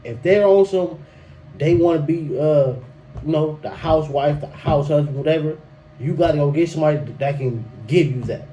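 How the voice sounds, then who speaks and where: A man's voice: fairly high pitch, moderate pace, warm tone, rough recording. A young man, talking loosely and emphatically in a solo podcast monologue, often restarting and tossing in slang. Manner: loose, repetitive and emphatic, with frequent restarts and "bruh". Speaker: podcast host. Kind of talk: solo podcast monologue giving relationship advice. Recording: faint background noise.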